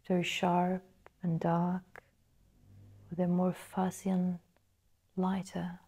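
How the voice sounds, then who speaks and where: A woman guiding a meditation in a soft, breathy, partly whispered voice, measured and full of pauses. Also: on the low side, monotone. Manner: slow, measured, pausing between phrases. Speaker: meditation guide. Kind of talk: guided meditation narration.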